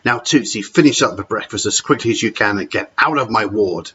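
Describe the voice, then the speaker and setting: A man's voice: fairly low pitch, brisk, a bit bright, slightly rough. A man voicing a cruel, scornful female hospital matron with a sneering manner. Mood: harsh. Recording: dull lo-fi recording.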